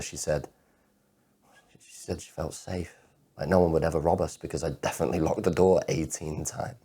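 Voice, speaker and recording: A man performing a dramatic monologue as an anxious, lovelorn man with obsessive compulsive disorder, halting and repeating his words. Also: very deep voice, gravelly, mellow, breathy edge, heavily acted. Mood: fond, nervy. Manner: halting, stammering repetition of words. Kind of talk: dramatic monologue performance of spoken-word poetry.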